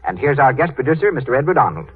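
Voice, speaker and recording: An American male announcer from 1930s radio delivers scripted copy. His intonation is very lively and his tone husky. The sound is thin and muffled like an old broadcast. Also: medium pitch, rapid-fire.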